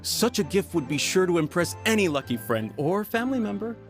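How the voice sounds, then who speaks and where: A man voicing a smooth-talking tea merchant with a persuasive salesman patter. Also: high-pitched, very animated, fairly quick. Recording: game audio with music.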